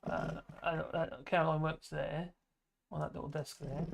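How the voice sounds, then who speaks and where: A man's voice: a little high, flat delivery, measured pace, gravelly. A man, hosting a casual livestream, rambling and chuckling at his own asides from his home office. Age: 50s. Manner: chatty, chuckling, self-mocking asides. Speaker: livestream host reading chat.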